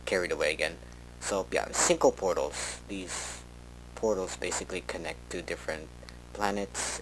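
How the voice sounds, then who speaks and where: A man's voice: on the low side, a little hiss or hum, poor audio. A man playing a researcher recording an informal log tape, talking in a hesitant, conversational way and often interrupting himself. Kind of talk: in-character monologue for a fan horror audio log.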